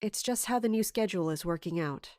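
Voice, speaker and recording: An actress playing a weary, secretive woman in charge, measured and firm, in an audio drama. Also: fairly low pitch, background noise, lightly acted. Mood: stern.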